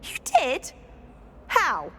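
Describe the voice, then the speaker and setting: A woman's voice: high voice, wildly swooping pitch, drawn-out words, sharp tone, slight background noise, exaggerated voice. An actress playing an earnest, inquisitive, slightly eccentric British schoolgirl witch in a video game, chatty and proper. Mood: surprised, rueful. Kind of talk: video game dialogue acting.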